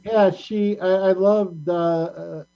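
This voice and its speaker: A middle-aged man hosting a casual panel discussion, talking in a rambling, halting way. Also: high voice, fairly even intonation, smooth. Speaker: talk show host. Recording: muffled lo-fi audio with mains hum.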